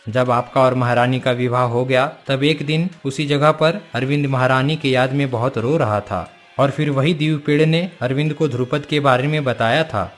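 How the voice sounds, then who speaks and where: A man's voice: medium pitch, fairly even intonation, normal speed, faint music behind, lightly acted. A man playing a wise, all-knowing forest guru who speaks in a solemn, formal and instructive way, in a cartoon moral story.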